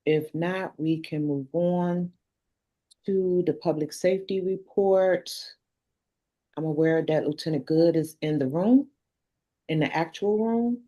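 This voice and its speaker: Casting: woman meeting chair, lowish dark voice, procedural delivery. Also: measured pace, highs cut off.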